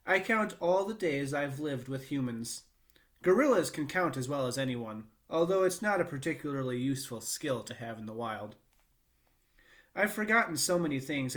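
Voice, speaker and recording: A man reading a children's book aloud in a measured, expressive storytelling manner with short pauses. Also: a little high. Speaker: read-aloud reader.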